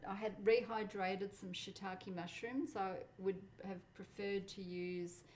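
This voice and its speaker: A middle-aged woman who grew up speaking Polish, talking thoughtfully in a relaxed interview while cooking, with the odd soft laugh. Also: medium pitch, echoey room. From Australia. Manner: thoughtful, measured, laughs softly. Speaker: interviewee, home cook. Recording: faint background noise.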